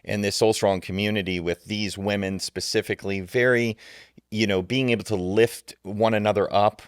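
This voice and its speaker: A man with a lowish voice co-hosting a podcast, sincere and supportive. He often repeats a word before he gets going. The sound is dry and close on a studio mic. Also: fairly even intonation, normal speed, smooth, dry recording. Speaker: podcast co-host. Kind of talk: casual podcast chat.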